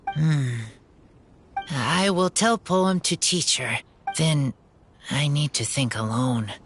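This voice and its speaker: An actor playing an earnest, solemn wild boy in a video game, speaking in blunt, halting short phrases. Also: medium pitch, a little hiss or hum. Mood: quiet, thoughtful. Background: faint music.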